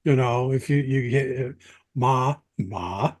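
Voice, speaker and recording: A man's voice: medium pitch, slow, no echo, studio quality. A man co-hosting a podcast and asking questions in a casual, conversational style. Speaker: podcast co-host.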